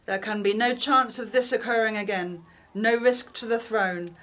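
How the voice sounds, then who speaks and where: A woman's voice: fairly high pitch, steady tone, moderate pace, fairly bright tone, natural voice. A woman playing a ruthless, scheming Egyptian queen in an audio drama, with a stately, formal, commanding delivery. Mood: cold, commanding. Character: imperious, ruthless scheming Egyptian queen, first royal wife.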